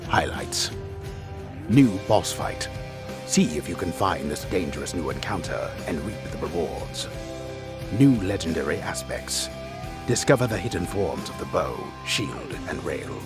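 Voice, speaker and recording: A man playing a disembodied game storyteller, reading a script with grave, deliberate, theatrical delivery. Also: sits low, lively intonation, over music. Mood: grand, dramatic. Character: disembodied storyteller, grave, measured, solemn male narrator. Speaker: storyteller narrator.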